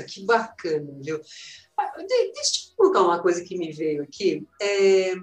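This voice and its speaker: Lively-pitched woman interviewer, distant and roomy. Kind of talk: interview questions. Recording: roomy and distant with faint music.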